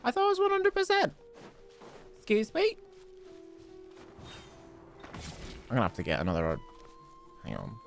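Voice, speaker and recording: A man's voice: high-pitched, wildly swooping pitch, a little slow, long pauses, soft background music. A man streaming a game, chatting casually and rambling while answering chat questions. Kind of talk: casual gameplay commentary.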